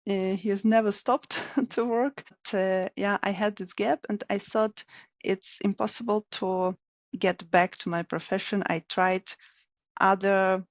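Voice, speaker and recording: A woman. She is a guest and tells her story in a measured, thoughtful way with small pauses. Her voice sits mid-height for a woman and comes across dry and close to the mic. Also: mid-range voice, fairly even intonation, no echo. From Russia. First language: Russian. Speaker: guest, software developer. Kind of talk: casual interview talk.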